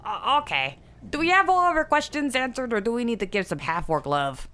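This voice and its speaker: A woman's voice: fairly high pitch, very animated, a little fast, sharp tone. A woman chatting casually as a player in an improvised tabletop game.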